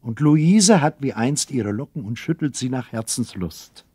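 A male narrator tells a scripted radio play story. His mid-pitched voice is measured and literary, recorded dry. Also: lively intonation, warm tone, husky, dry recording.

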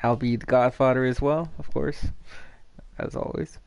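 A man's voice: medium pitch, fairly even intonation, moderate pace, vocal fry, a little mellow, a little hiss or hum. A man in his late twenties hosting a casual gaming podcast, joking around and laughing often. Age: 20s. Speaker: podcast host. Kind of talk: casual chat.

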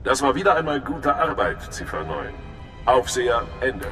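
Stern imperial overseer, very echoey. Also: male voice, big pitch swings, normal speed, fairly bright tone, soft background music. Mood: formal, businesslike. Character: stern, formal male imperial intelligence overseer. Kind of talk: dramatic sci-fi game dialogue. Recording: echoey hall with faint rumbling noise.

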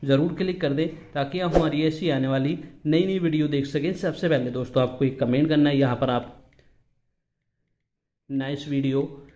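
A man's voice: on the high side, steady tone, normal speed, dark tone. Man explaining a phone app step by step. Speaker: tutorial host. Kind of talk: instructional phone app walkthrough.

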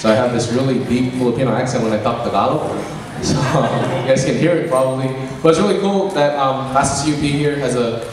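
A young man from American Samoa co-hosts a student show on stage, upbeat and full of fillers. His voice is recorded from far across the room. Heavy hall reverb and clear background noise surround him. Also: mid-range voice, fairly quick. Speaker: co-emcee of a student cultural show. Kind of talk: casual stage hosting. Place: large event hall on a stage. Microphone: handheld mic heard through hall speakers.

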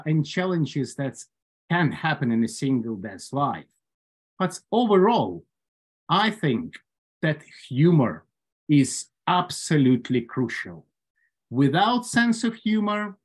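A man in his forties with a Ukrainian accent, giving a prepared humorous speech at an online club meeting with dry humor and measured phrasing. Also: fairly high pitch, husky. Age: 40s. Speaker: club speaker. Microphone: video call.

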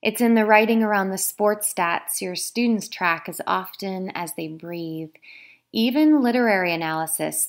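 A woman who is an author, reading her own book aloud as scripted narration. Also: on the low side.

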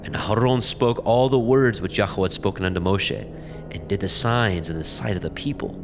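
Low-voiced solemn male narrator. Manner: measured, solemn reading. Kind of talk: scripted scripture narration. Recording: music behind the voice.

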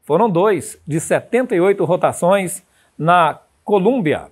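A man's voice: high voice, animated, unhurried, breathy edge. A man in his fifties with a Brazilian accent, presenting a documentary in scripted narration. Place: indoors in front of a printed backdrop.

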